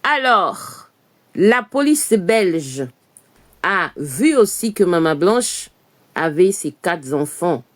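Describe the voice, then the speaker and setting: A woman's voice: fairly low pitch, very animated, takes it slowly. Woman, live outdoor presenter; emphatic, rhetorical, filler-heavy. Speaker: online news presenter. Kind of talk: live commentary to camera. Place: outdoors in front of a church.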